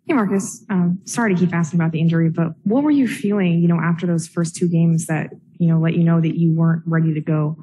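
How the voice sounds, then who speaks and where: Husky-voiced female reporter. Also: lowish voice, fast, hardly any pauses, mellow, low-quality recording. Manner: polite, apologetic questioning. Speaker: sports reporter.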